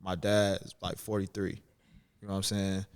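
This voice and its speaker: A young man hosting a podcast, introducing a guest and asking questions with an energetic, hyped manner. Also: low voice, normal speed, smooth. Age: young adult. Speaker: podcast host. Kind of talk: casual interview chat.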